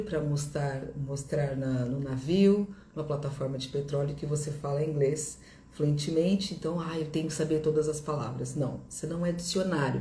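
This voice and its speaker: A woman's voice: sits low, warm tone, a little hiss or hum. A middle-aged woman from Brazil with a Brazilian Portuguese accent, an English teacher giving a video lesson in a reassuring, repetitive way that keeps checking in with the viewer. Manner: reassuring, repeats herself, checks in with "ok?". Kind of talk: direct-to-camera video lesson. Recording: echoey room.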